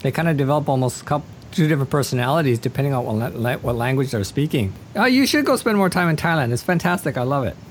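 A woman's voice: a bass voice, lively intonation, quick pace, hardly any pauses, a little hiss or hum. Chatty woman hosting an interview. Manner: chatty, asks playful questions. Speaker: host. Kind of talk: casual interview chat.

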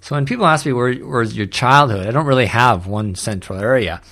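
Man, casual conversation host, reflective storyteller, mid-pitched voice. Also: a little fast, bright, forceful tone. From Canada.

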